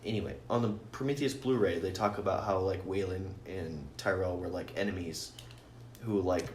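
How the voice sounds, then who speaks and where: A man's voice: deep voice, moderate pace. A man co-hosting a podcast, chatting casually about films in an argumentative, sweary way. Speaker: podcast host. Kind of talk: casual film-fan chat. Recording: echoey room with clear background noise.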